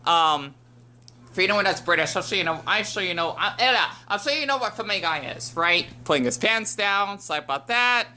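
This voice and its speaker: Bright-voiced rambling American woman. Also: mid-range voice, expressive, fairly quick. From United States. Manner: rambling, with many fillers and restarts. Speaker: YouTube commentator. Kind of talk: casual reaction commentary.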